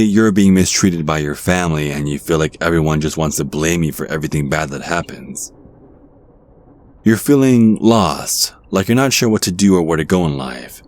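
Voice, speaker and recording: Casting: male actor as a charming, ageless devil in disguise, low-pitched, playful, teasing and reassuring, for ASMR roleplay. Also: a little mellow, exaggerated voice. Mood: sympathetic.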